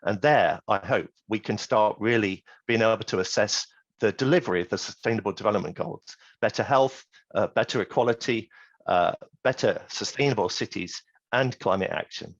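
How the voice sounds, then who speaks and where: A man's voice: on the low side, moderate pace, no room sound. A man giving an earnest online conference presentation. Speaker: presenting speaker. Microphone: video call. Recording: dry, muffled video call.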